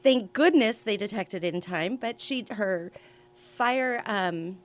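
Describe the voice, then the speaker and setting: A woman with an average-pitched voice speaks as a radio guest. She talks in an informational interview style, conversational and unscripted in feel. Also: animated, takes it slowly. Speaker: radio show guest, senior seminar organizer.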